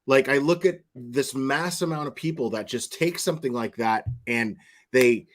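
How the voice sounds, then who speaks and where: A man's voice: mid-range voice, moderate pace. A man giving opinionated, off-the-cuff online commentary, repeating words for emphasis and often checking in with the listener. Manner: emphatic, checks with "okay?" and "right?". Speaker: commentary vlogger.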